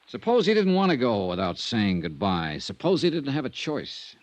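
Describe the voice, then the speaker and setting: An American actor playing a self-assured, persistent insurance investigator in a 1950s radio drama, speaking in a clipped, dry, probing way. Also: male voice, mid-range voice, big pitch swings, moderate pace, close to an everyday voice. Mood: pressing. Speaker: lead actor and narrator. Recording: noisy vintage radio recording.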